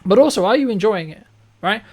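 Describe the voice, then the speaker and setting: A man's voice: high-pitched, expressive, fairly quick, darkish tone. A man hosting a conversational business interview, speaking emphatically with rhetorical questions and frequent checks for agreement. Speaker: interview host and filmmaker.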